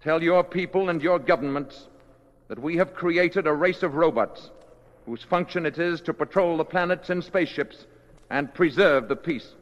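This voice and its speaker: A man acting a calm, solemn alien emissary in human form in an old radio drama, speaking formally and with measured words. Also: fairly high pitch, lightly acted. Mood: solemn warning. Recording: dull vintage radio recording, hall reverb.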